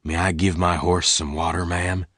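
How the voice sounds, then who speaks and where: A man voicing a big, calm stranger in a western story, quiet, polite and quietly dangerous. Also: deep voice, moderate pace, no echo, clean studio sound.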